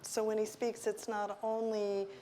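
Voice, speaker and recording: A woman's voice: medium pitch, level tone, unhurried, mellow. A woman on a stage panel speaking with enthusiastic, persuasive conviction. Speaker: panel guest.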